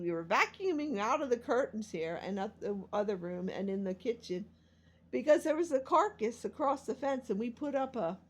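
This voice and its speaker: A woman in her sixties talking about her life in a rambling, confessional vlog, meandering and self-deprecating. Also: mid-range voice. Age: 60s. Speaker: vlogger and self-published author.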